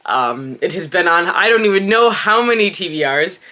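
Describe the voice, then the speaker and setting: Woman host with a head cold, stuffy and sniffly, bright and forward tone, casual book talk. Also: fairly low pitch, lively intonation, normal speed. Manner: chatty, thinks aloud with small self-corrections. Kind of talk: casual book chat to camera.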